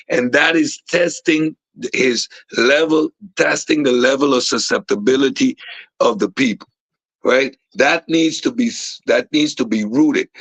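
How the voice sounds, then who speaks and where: A man's voice: mid-range voice, fairly bright tone, dry recording, low-quality recording. A man talking casually and emphatically, restarting often and checking in with "right?". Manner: emphatic, many restarts, keeps asking "right?". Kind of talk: casual discussion.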